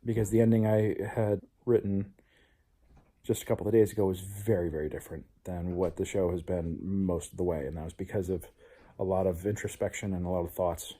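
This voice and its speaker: A man's voice: low voice, fairly even intonation, a little fast, dark tone. A man talking reflectively in a personal vlog as a playwright, earnest and introspective. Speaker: playwright, show host.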